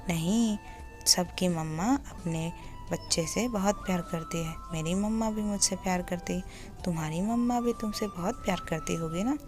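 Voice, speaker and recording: A woman voicing a kind nine-year-old boy who gently comforts a crying child, with soft background music. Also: fairly low pitch, moderate pace, close to an everyday voice. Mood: soothing. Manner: gentle, soothing, soft-spoken.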